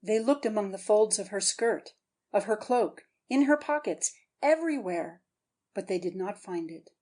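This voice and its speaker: A woman's voice: mid-range voice, normal speed, dark tone. A woman narrator giving a scripted, dramatic audiobook reading.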